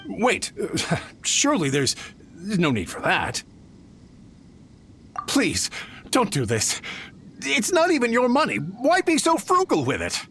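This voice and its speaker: An actor playing a shifty, greedy merchant in a video game. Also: male voice, sits high, huge pitch swings, takes it slowly, pauses often, fairly bright tone, slight background noise, full character voice. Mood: pleading. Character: shifty, greedy merchant man driving hard bargains. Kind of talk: video game character acting.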